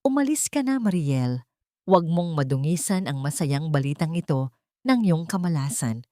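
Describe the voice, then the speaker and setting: Cruel elderly rich mother-in-law for story drama, played by a woman narrator: low-pitched, contemptuous, disgusted. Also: animated, moderate pace, mellow, no echo, studio quality. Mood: cold dismissal. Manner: contemptuous, cutting.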